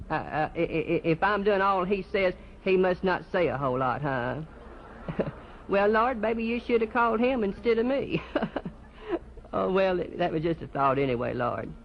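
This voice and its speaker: Man acting a high-voiced, stammering churchgoer. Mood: sheepish. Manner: stammering, repetitive, eagerly agreeable. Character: folksy, sheepish churchgoer taking a phone call. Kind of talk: one-sided comic phone-call monologue. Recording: dull lo-fi live recording, loud noise.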